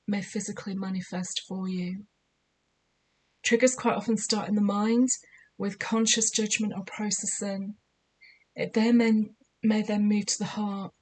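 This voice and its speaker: A woman with a slightly croaky voice giving spiritual guidance in a measured, soothing, deliberate way. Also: medium pitch, rough recording. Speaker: spiritual guide. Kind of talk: scripted spiritual guidance talk.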